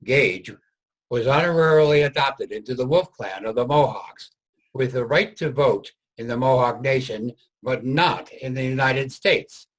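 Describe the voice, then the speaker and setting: A man giving scripted historical narration in a formal, measured way. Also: mid-range voice, rough recording.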